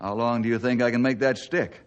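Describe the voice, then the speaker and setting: An actor playing an earnest, level-headed forest ranger in a radio drama, with a measured way of talking. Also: male voice, mid-range voice, steady tone, dull audio, natural voice. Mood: earnest, resolute. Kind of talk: old-time radio drama acting.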